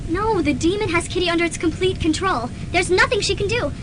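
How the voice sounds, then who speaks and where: Woman acting a very high, grim college girl. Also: a little fast. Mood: resolute. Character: grim, resolute college girl possessed by ghost. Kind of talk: student radio drama acting. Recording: noisy old student radio recording.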